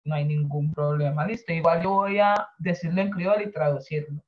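A woman whose first language is San Andrés Creole, teaching an online class and slowly explaining and translating each word. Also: low-pitched. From San Andrés Island, Colombia. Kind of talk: online class over a video call. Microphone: video call. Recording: dull lo-fi video call audio.

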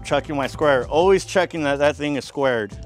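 A man's voice: a little high, moderate pace, loud music behind the voice. A man in his thirties giving a casual, chatty DIY woodworking tutorial on an outdoor covered porch workshop, talking to viewers as guys. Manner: upbeat, chatty, says guys and man a lot. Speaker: fitness coach building gym gear.

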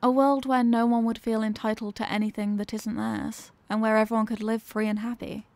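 A woman's voice: fairly high pitch, level tone, fairly quick, a little mellow, dry recording, close to an everyday voice. A woman voicing a dominant, mocking female villain in an ASMR roleplay, with a condescending, teasing, theatrical manner. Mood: idealistic, persuasive.

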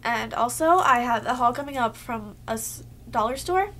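A woman's voice: a little high, expressive, normal speed, bright, forceful tone, slight background noise. A young woman chatting casually to her webcam in her bedroom, a little sick and losing her voice, full of "like" fillers. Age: young adult. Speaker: beauty vlogger. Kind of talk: casual haul chat to camera. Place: cluttered bedroom, indoors.

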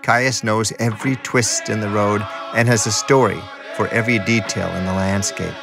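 A man delivers scripted radio documentary narration in a measured, storytelling manner. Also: lowish voice, fairly even intonation, gravelly, over music.

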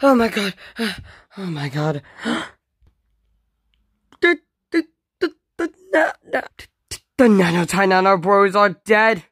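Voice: mid-range voice, slow pace, a bit bright, slightly rough, no echo, studio quality. Someone playing an anxious, exclaiming dinosaur hero. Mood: panicked grief. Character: frightened, loyal dinosaur hero protecting friends. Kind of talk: homemade dinosaur story play-acting.

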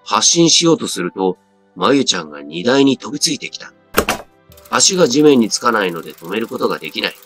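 A man's voice: on the low side, sing-song, brisk. A man reading a scripted first-person story as a dramatic narrator. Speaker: story reader. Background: background music.

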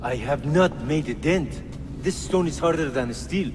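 Echoey, weary young laborer. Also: male voice, a little high, expressive, measured pace. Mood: weary, resigned. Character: weary, frightened young male laborer digging for captors. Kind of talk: dramatic game dialogue. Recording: echoey stone chamber, noisy ambience.

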